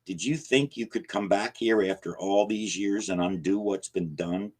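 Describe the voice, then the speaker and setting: A man's voice: low-pitched, moderate pace. A man voicing an ancient, malicious shadow spirit that gloats and threatens. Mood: gloating. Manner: gloating, menacing.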